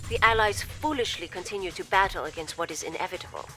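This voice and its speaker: A woman's voice: on the low side, lightly acted. Woman acting poised imperial briefing officer over music. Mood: formal, resolute. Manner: formal, measured, deferential. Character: poised, devoted female imperial logistics officer briefing commanders. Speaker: military intelligence and logistics officer. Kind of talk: scripted video game cutscene briefing. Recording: loud music behind the voice.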